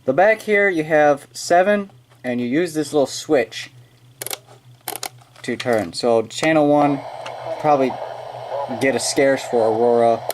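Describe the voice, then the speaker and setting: A man's voice: mid-range voice, measured pace, pauses often. Man casually unboxing, noisy home recording. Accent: American. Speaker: amateur unboxing video maker. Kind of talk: casual product unboxing, hands-on demonstration. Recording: noisy amateur room recording with clicking.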